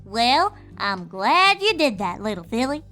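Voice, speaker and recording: A woman voicing a kindly old granny pony, knowing and reassuring, in a slow folksy manner. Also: on the high side, sing-song, pushed and bright, soft background music, full character voice. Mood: amused, reassuring.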